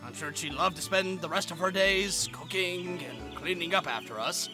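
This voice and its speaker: A man's voice: high-pitched, normal speed, over music, natural voice. A man voicing a cheeky, sarcastic teenage kid in a cartoon parody with a snarky manner. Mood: glum sarcasm.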